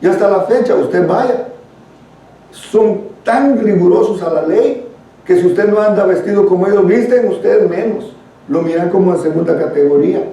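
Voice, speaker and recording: Very echoey distant recording, mellow-voiced man, Guatemalan accent, Bible teacher, conversational and earnest. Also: sits high, vocal fry. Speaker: Bible study leader. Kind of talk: church Bible teaching, reading and explaining scripture. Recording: very echoey room, distant microphone.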